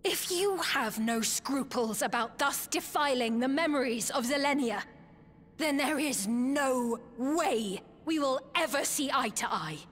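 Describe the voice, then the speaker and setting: A woman playing a regal young queen, gentle yet righteous, speaking formally and earnestly in a video game cutscene. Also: high-pitched, heavily acted.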